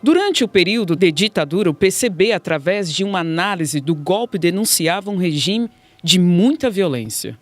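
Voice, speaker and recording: A woman's voice: on the low side, expressive, moderate pace, soft background music. A woman with a Brazilian accent reading scripted narration for a radio documentary.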